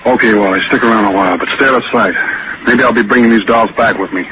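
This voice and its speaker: An actor playing a shrewd, matter-of-fact small-town police chief in a 1940s radio drama. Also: male voice, fairly low pitch, quick pace, natural voice. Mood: businesslike. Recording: muffled, noisy old radio broadcast.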